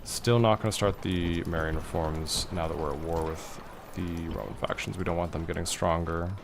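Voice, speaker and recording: A man casually talking through a strategy game as he plays, thinking aloud and answering viewer questions in a relaxed way. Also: sits low, normal speed, gravelly, noisy background. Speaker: streamer playing a strategy game. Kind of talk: casual gameplay commentary reading chat.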